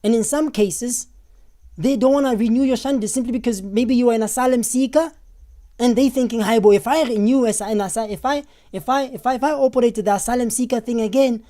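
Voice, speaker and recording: A hoarse South African man hosting a live stream, reading viewers' comments out loud and answering them in an animated way. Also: very high voice, a little fast. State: hoarse, losing his voice.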